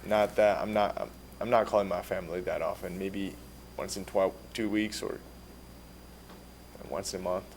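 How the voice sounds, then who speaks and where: A man's voice: sits low, fairly even intonation, normal speed, long pauses, a little mellow, a little hiss or hum. An eighteen-year-old man from the country of Georgia, a native Georgian speaker, giving polite, earnest answers as an exchange student. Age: teens. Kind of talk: answering questions at a public meeting.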